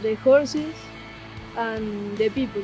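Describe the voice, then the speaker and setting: A woman's voice: on the high side, slow pace, dark tone, low-quality recording. A woman from Buenos Aires with an Argentine accent, a polo player speaking casually in an interview. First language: Spanish. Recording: music behind the voice.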